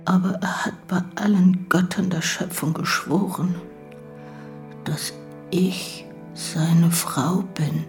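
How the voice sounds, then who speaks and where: An actress playing a grief-stricken, exhausted widow in a radio drama, sounding tearful and drained. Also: female voice, fairly low pitch, steady tone, takes it slowly, soft background music. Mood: grieving, stunned. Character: grief-stricken, exhausted common-law widow of a murdered man.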